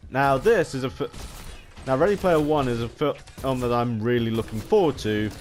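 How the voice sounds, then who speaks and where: A man with a medium-pitched voice rambles through unscripted commentary for a gaming video. He speaks haltingly, stammering and restarting phrases as he goes. The home recording carries some background noise. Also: darkish tone. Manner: halting, stammering, often restarting sentences mid-word.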